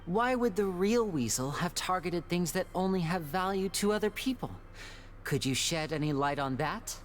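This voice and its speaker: A woman's voice: lowish voice, animated, close to an everyday voice. A woman voicing a suave, shrewd young male magician in a video game, theatrical and teasingly polite. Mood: calm, probing. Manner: smooth, showmanlike, mock-polite, deliberate. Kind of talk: scripted video game voice acting.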